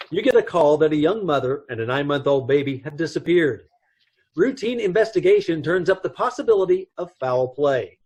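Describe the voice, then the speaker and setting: A man's voice: fairly high pitch, darkish tone, breathy edge. A man playing the solemn announcer of an old-time radio police drama, reading scripted narration in a formal style.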